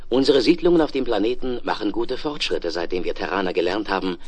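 An actor playing a confident, wry space explorer in a 1970s German science-fiction radio play, with a theatrical, dryly ironic delivery. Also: male voice, lowish voice, animated, fast, darkish tone. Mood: assured, reporting.

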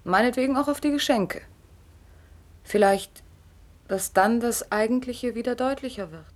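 An actress playing an earnest, opinionated seventeen-year-old daughter with austere ideals in a radio play. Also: female voice, on the high side, normal speed, lightly acted. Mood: earnest, insistent. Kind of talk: late 1960s radio play acting.

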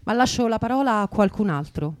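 A woman's voice: mid-range voice, lively intonation, a little fast, over music. A woman speaking casually and colloquially on a radio programme.

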